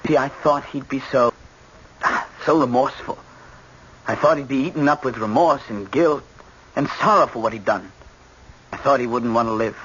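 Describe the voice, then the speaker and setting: A troubled young son in a vintage radio play, acted by a man, halting and full of self-doubt. His voice sits at a middling pitch, on a muffled recording with faint hiss. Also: a bit bright, lightly acted. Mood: remorseful, bewildered. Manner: halting, self-questioning, repeats himself. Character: troubled, remorseful son recovering after a breakdown. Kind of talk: 1970s radio drama acting.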